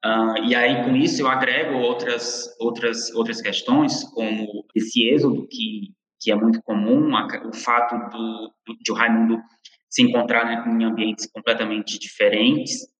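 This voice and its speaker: A man answers interview questions about his writing, pausing and restarting his phrases often. His voice sits at a middle pitch. It rings through an echoey room with a long reverb tail. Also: fairly even intonation, a bit bright. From interior of Ceará, Brazil. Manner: halting, many restarts and "né". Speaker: novelist guest. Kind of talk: casual panel interview.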